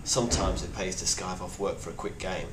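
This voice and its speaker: Male actor as weary apocalypse survivor, lowish voice, blunt and grave video testimony, noisy roomy sound. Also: fairly even intonation, fairly quick, lightly acted. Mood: grim, wary. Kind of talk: in-character monologue, found-footage video diary. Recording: roomy echo with clear background noise.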